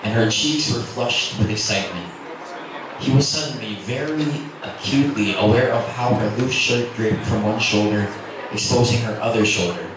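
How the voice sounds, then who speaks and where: A man's voice: lowish voice, moderate pace. A man giving a dramatic, scripted narration of a romance novel as a reader at a literary event. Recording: echoey, noisy room from far off.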